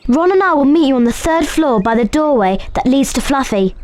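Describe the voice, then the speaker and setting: A woman's voice: sits high, expressive, brisk, a little mellow, slight background noise. An actress playing an earnest, bookish young schoolgirl witch with a precise, urgent manner in a video game. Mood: earnest, concerned. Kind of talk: video game character acting.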